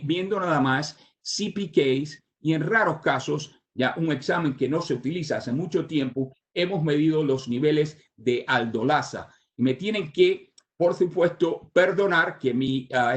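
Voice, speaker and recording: Older man, surgeon, Cuban Spanish accent with English influence, medical lecture, slightly high voice, halting with filler sounds. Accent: Cuban Spanish with American English influence. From Cuba, raised in the United States. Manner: halting, with many "ah" fillers and restarts. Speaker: surgeon giving a conference talk.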